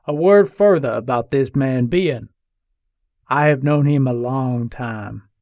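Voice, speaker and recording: A man's voice: mid-range voice, unhurried, darkish tone, no room sound, lightly acted. A man playing an embattled Old West gunslinger who pleads his case from jail in formal, old-fashioned storytelling, in a dramatic reading. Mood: accusing, resentful.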